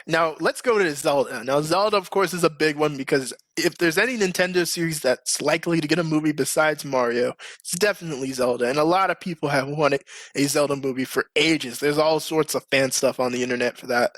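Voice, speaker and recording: Male podcast host, bright and animated, higher register, casual and emphatic group banter. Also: a little fast, dry recording.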